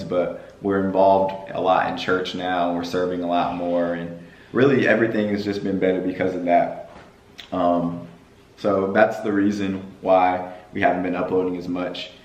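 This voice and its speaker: A young man with a hoarse, worn-out voice chatting casually on his family vlog, with a playful preacher-like cadence. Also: low-pitched, reverberant, lo-fi sound. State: hoarse from screaming at games. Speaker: vlogger.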